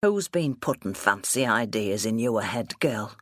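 A woman's voice: extremely low pitch, animated, unhurried, fairly bright tone, dry recording, clean studio sound, pitched below the performer's own voice, exaggerated voice. A woman voicing a stern, gaunt farmer father in an audiobook, with a growling, sarcastic manner and rustic dialect. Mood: suspicious, scornful. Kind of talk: audiobook character dialogue.

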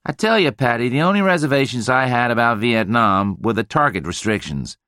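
A man voicing a brash, boastful veteran, loud and amazed at himself. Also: medium pitch, animated, fairly quick, no room sound, clean studio sound. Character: brash, boastful American male Vietnam veteran.

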